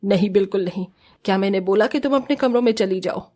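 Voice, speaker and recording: Cartoon matriarch woman acting: medium pitch, stern, scolding, commanding mother-in-law. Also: quick pace, dark tone, close to an everyday voice. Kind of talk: cartoon family drama acting.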